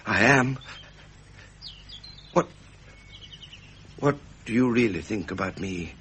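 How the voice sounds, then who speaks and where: An actor playing a young, tender, self-doubting prince in a 1970s British radio drama, with an upper-class English accent. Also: male voice, lowish voice, lively intonation, takes it slowly, fairly bright tone, a little hiss or hum. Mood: tender, earnest.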